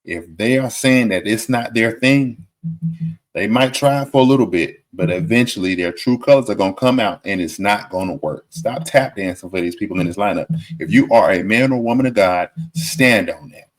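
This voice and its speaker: A man's voice: fairly low pitch, moderate pace. A man commenting on a dating show clip, giving emphatic, sermon-like advice and repeating his warnings. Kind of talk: preachy reaction commentary.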